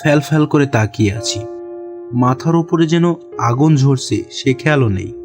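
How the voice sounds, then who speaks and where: A man narrating a horror story in the first person with a deliberate, suspenseful, literary delivery. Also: medium pitch, expressive. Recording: music behind the voice.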